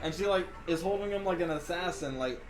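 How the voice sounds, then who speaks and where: A man doing casual live gameplay commentary as a streamer, excitable and slangy, with some swearing. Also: on the high side, fairly quick, poor audio. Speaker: game streamer. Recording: stream voice over game music.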